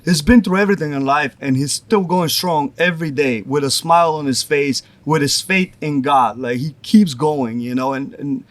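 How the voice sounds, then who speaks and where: A man's voice: fairly high pitch, normal speed, few pauses, no room sound. A young man from Bulgaria, a pro wrestler, chatting casually in an interview and leaning on "like" a lot. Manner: rambling, says "like" often.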